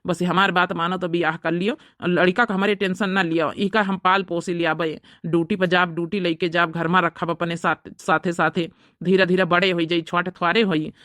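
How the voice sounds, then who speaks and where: A woman's voice: lowish voice, level tone, normal speed, fairly bright tone, no room sound, studio quality, lightly acted. A woman with an Awadhi accent voicing an anxious, pleading young widowed father and elder brother in a cartoon story. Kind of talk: amateur cartoon story dialogue.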